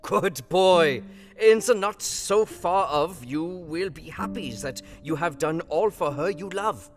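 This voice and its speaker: Audio drama casting: older doctor, kindly yet urgent, solemn broken English, highly animated delivery in a reverberant hall. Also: male voice, takes it slowly. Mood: rousing, earnest. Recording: long hall reverb over music.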